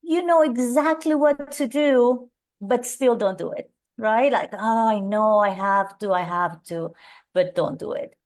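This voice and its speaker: Colombian accent lady, middle-aged, peppy webinar coach, kinda high voice. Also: unhurried. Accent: Colombian Spanish. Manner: energetic, upbeat, addresses audience by name. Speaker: webinar presenter. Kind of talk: live webinar coaching talk.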